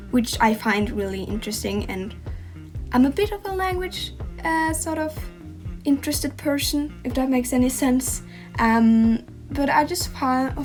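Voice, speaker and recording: A teenage girl from Norway talks in English with a Norwegian accent, her voice pitched a little high. She rambles casually and hesitantly, full of ums. Faint music plays underneath. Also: darkish tone. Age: teens. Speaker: art channel host. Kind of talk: casual chat to camera.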